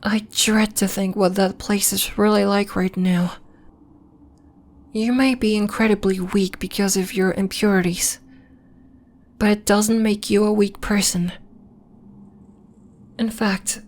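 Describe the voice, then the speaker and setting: A woman playing a gentle, earnest alien temple healer in an ASMR roleplay, speaking in a measured, formal, reassuring way. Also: medium pitch, natural voice. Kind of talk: slow, soft ASMR roleplay acting.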